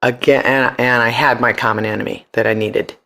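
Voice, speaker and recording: Middle-aged, American accent, mid-pitched voice, animated candid storytelling, slangy, profane, interview guest. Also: brisk, gravelly. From United States. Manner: animated, profane, slangy, acts out quoted arguments. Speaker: podcast guest, punk band singer.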